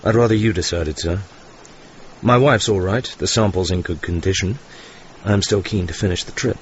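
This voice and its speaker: An actor with a British accent playing a suave, cool, determined secret agent in a radio drama, clipped and understated. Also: male voice, deep voice, moderate pace, lightly acted. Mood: guarded, deferential. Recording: muffled, noisy radio drama recording.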